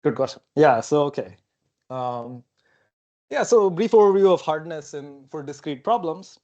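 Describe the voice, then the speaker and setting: A man's voice: a little high, lively intonation, moderate pace, a little mellow, highs cut off. A young man whose first language is not English, giving a technical seminar talk at a whiteboard in a lecture hall, casual and thinking aloud with frequent okays and fillers, on a lapel mic. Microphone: clip-on lapel mic.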